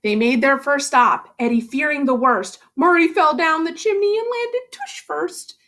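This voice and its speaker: A woman with a high voice reads a storybook aloud to children. Her delivery is expressive and theatrical, leaning into the rhymes like a practiced school reading teacher. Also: measured pace. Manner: animated, theatrical emphasis on rhymes.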